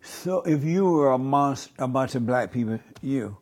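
A man's voice: medium pitch, expressive, measured pace, a little mellow. An older man hosting a talk show, asking blunt and provocative interview questions.

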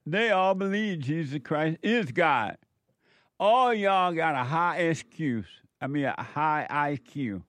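A man's voice: fairly high pitch, fairly bright tone, no room sound. A man hosting a casual chat who reacts to posts with laughter and playful repetition. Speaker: show host.

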